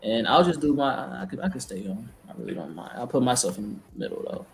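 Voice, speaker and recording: A man hosts a casual group video chat with loose, playful, slangy talk. His pitch is mid-range for a man. The call carries clear background noise. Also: big pitch swings, fast. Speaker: host of an online debate tournament. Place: multi-person video call.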